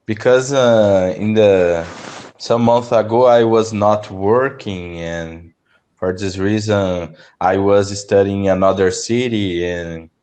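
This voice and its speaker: A young Brazilian man, a native Portuguese speaker, talking haltingly with many restarts in an online English lesson over a video call. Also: lowish voice, fairly even intonation, a little mellow. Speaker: English learner. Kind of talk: online language lesson conversation.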